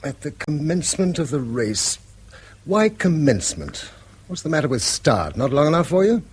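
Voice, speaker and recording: An actor playing a pedantic, mild-tempered schoolmaster and husband in mid-century British radio drama, speaking precise Received Pronunciation in a gently scolding way. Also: male voice, on the high side, sing-song, moderate pace, husky. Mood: donnish, chiding. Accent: English Received Pronunciation.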